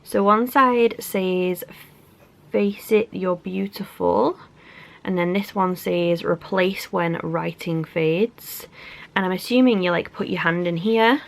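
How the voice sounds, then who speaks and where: A woman's voice: medium pitch, creaky. A young woman from England with a stuffy, congested cold, chatting casually through an unboxing with lots of likes and ums. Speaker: beauty vlogger.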